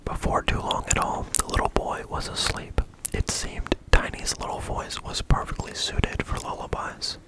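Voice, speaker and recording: A storyteller reading a bedtime story in a soft whisper and adding dry asides. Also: rough recording.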